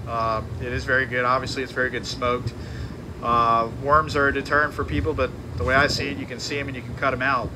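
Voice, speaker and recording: Man, fishing captain, casual seminar talk, monotone, noisy live recording. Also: mid-range voice. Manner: conversational, many fillers and restarts. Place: tackle shop seminar.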